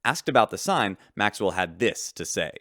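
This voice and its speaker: An affable shipboard newsman for a sci-fi radio drama. His low voice moves in big, animated swings, earnest and newsy, very close and dry with music under it. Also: moderate pace, dry recording, exaggerated voice. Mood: earnest newscaster. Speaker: radio host. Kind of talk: scripted radio news narration in sci-fi audio drama.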